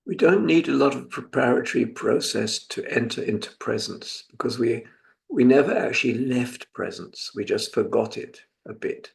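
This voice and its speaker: Gravelly older British man guiding meditation. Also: medium pitch. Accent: British English. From England. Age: 70s. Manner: unhurried, reflective, gently instructive with pauses. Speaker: meditation teacher. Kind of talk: guided meditation.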